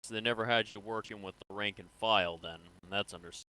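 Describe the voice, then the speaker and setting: A man playing a probing, businesslike military interrogator in an improvised sci-fi game role-play, speaking in a measured, matter-of-fact way. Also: on the low side, steady tone, pushed and bright, no echo, low-quality recording. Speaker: role-player in an online game.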